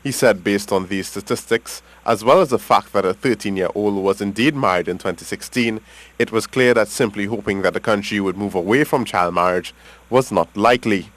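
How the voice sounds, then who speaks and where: Low-pitched Trinidadian male news reporter. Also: low-quality recording. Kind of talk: scripted news report narration.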